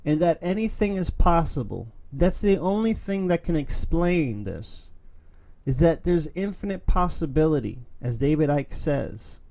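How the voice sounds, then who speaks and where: A man's voice: on the high side, animated, a little slow, creaky, warm tone. A young man from New York City hosting his own streamed opinion show, talking in an earnest, emphatic way with many fillers and restarts. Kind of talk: casual monologue, reading memes.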